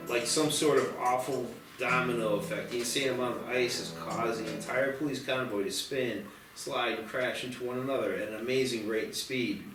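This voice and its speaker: A man's voice: medium pitch, a bit bright, recorded from a distance. A man reading scripted action and stage directions as narrator at a screenplay table read. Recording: roomy, off-mic, music behind.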